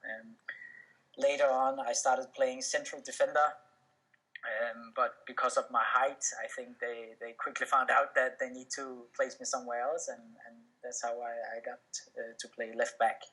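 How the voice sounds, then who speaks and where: A man's voice: mid-range voice, level tone, normal speed, bright, forceful tone, low-quality recording. A young man, a native Danish speaker, answering interview questions casually, with many fillers and restarts. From Denmark. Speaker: professional footballer, interview guest.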